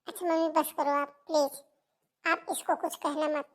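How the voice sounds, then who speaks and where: Woman playing anxious young wife in a cartoon, high-pitched, pleading tone. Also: little pitch movement, normal speed, close to an everyday voice. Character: anxious young married daughter, the sister-in-law. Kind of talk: Hindi cartoon moral story voice acting.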